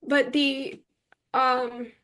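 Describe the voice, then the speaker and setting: A woman's voice: high voice, little pitch movement, drawn-out words, a bit bright. A woman interview guest telling her personal story in a candid, informal way, peppering it with "like". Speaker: podcast guest sharing personal experience.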